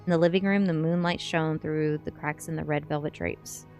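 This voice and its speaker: ASMR narrator, female: low register, restrained intonation, measured storytelling read. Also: fairly even intonation, a little mellow, faint music behind. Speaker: streamer reading a novel aloud.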